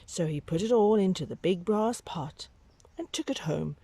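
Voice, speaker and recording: A woman reading a picture book aloud to young children, with dramatic, drawn-out emphasis and hushed whispery moments. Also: fairly low pitch, expressive, husky, no echo.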